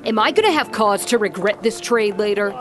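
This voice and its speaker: An actress playing a condescending, threatening merchant boss in a fantasy audio drama, with a dry delivery. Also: female voice, medium pitch, lively intonation, fairly quick, close to an everyday voice. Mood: cold, condescending.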